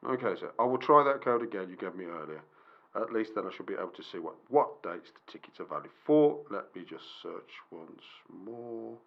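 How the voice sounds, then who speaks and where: A man's voice: fairly low pitch, animated, a little fast, vocal fry, dull audio. A man acting in an amateur radio play as a polite, formal customer service clerk, hesitant and apologetic.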